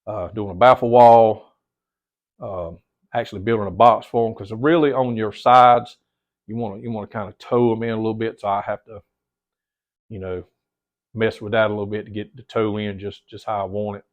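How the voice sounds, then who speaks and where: A man's voice: fairly low pitch, steady tone, normal speed. A middle-aged man, a little stuffy-nosed, chatting casually to camera in his home theater room and rambling, repeating words and calling his viewers "guys". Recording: dull lo-fi sound.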